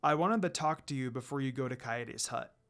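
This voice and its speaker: A man voicing a solemn, tender demon lord in a fantasy story, speaking softly and in measured, earnest phrases. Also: soft background music, natural voice. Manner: low, soft, measured, earnest. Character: solemn, tender, cool-headed male demon lord in love.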